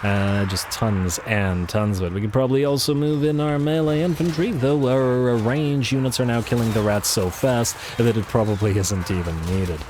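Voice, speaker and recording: A man casually commentating on a strategy game he is playing, chatty with lots of fillers, his voice a little raspy from coughing. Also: medium pitch, normal speed, hardly any pauses, noisy background. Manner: relaxed, chatty, frequent fillers and repeated words. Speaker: let's play commentator.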